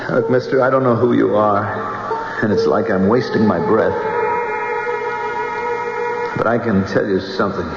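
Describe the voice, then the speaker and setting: An actor in a 1970s radio drama playing a bitter, despairing wounded man who mutters in a halting, anguished way. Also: on the low side, steady tone, lightly acted. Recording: echoey reverberant muffled old radio, music.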